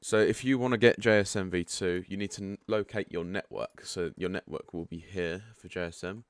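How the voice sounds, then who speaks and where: A man with a croaky, just-woken-up voice giving a relaxed, chatty walkthrough of a software setup. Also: deep voice, fairly quick, no echo, studio quality. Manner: relaxed and chatty, frank asides. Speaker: product developer giving a setup tutorial. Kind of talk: casual screen-share walkthrough.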